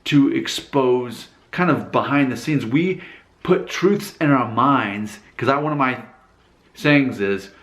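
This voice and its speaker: A man hosts his own video channel in a casual talking-to-camera monologue. His voice sits at an ordinary middle pitch, candid and emphatic, with preachy asides. The sound rings around an echoey room. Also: slow pace, fairly bright tone. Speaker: YouTube channel host.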